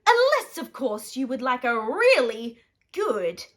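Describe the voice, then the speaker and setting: A woman voicing a pushy male company boss, a swindling storybook villain, forceful and wheedling. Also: high voice, very animated, slow pace, heavily acted. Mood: pushy, scheming.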